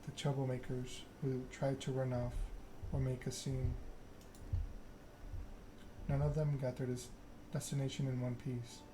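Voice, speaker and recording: Man playing suave male vampire enforcer for a story game: calm threat, bored monotone, noisy ambient backdrop. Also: medium pitch, a little slow, close to an everyday voice. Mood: calm menace. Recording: clear background ambience noise.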